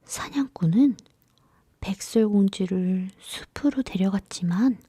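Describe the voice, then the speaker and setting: A woman storyteller narrating a fairy tale in a gentle, soothing, whispery bedtime style. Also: mid-range voice, measured pace, husky, no echo, clean studio sound.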